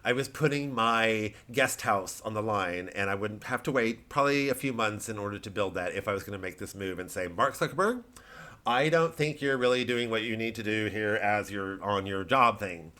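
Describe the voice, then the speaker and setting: A male comic playing an earnest tech-company whistleblower, speaking solemnly and deliberately with deadpan absurdity. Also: lowish voice. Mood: solemn pride. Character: earnest American tech-company whistleblower with grandiose nonsensical résumé.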